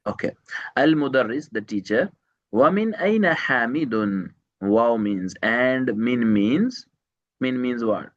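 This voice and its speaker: A man teaching Arabic one to one, repeating each phrase slowly, translating word by word and quizzing his student with short questions. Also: medium pitch, animated, muffled recording. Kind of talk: one-to-one language lesson.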